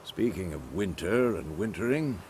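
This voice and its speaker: An actor playing a seasoned older monster hunter in a video game, a gruff mentor with a gravelly, measured delivery. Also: male voice, sits low, animated, darkish tone, a little hiss or hum. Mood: grave, pensive. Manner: measured, instructive. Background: music.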